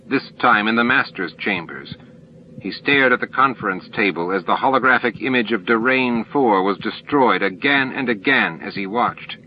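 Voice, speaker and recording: A man's voice: mid-range voice, sharp tone, a little hiss or hum, poor audio. A man narrating a fiction audiobook in a scripted, read-aloud storytelling style.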